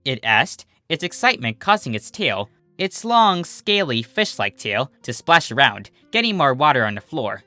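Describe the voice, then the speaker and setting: A narrator reading a scripted story in an animated storytelling style. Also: medium pitch, normal speed, few pauses, no echo. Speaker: narrator, fan fiction reader.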